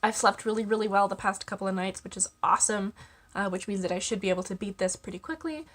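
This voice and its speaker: A woman's voice: mid-range voice, fast talker, a bit bright. A young woman vlogging to camera, congested with a cold and chatting casually with lots of ums and small laughs. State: congested, stuffy-nosed. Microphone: camera mic.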